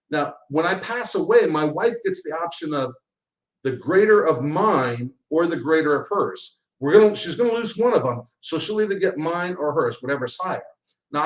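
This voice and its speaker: A man in his sixties with a slightly higher-than-usual voice walks through slides in a webinar. He talks like a chatty financial advisor, explaining step by step and often restarting his phrases. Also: low-quality recording.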